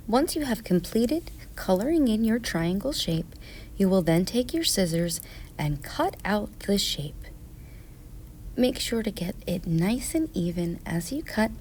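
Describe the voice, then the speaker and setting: A woman narrating step-by-step craft instructions for children in a measured, scripted way. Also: mid-range voice, mellow, slight background noise.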